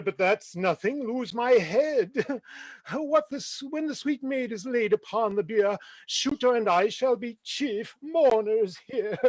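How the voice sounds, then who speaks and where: A man's voice: very high voice, no room sound, heavily acted. A man voicing a weeping male stage player who mourns the comic muse, reading verse in a theatrical, declamatory way. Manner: theatrical, declamatory, laughing through lines. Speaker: stage reader.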